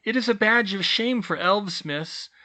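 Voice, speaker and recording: A reader voicing Tilly, an older female house-elf teacher who squeaks in broken grammar. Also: a little slow, bright, forceful tone. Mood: earnest.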